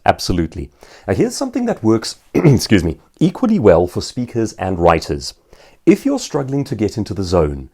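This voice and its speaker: Animated, mellow-voiced male speaker. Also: lowish voice. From South Africa. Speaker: author and professional speaker. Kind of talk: informal seminar answering viewers' questions.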